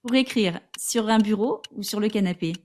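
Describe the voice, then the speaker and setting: A woman's voice: mid-range voice, normal speed, a little hiss or hum. Woman podcast host asking questions. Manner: steady, reads prepared questions. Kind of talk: podcast interview, read summary.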